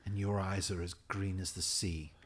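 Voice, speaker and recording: A man's voice: low-pitched, steady tone, moderate pace. A man playing an earnest, dramatic crimefighter longing for his lost wife in an audio drama. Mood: tender, resolute.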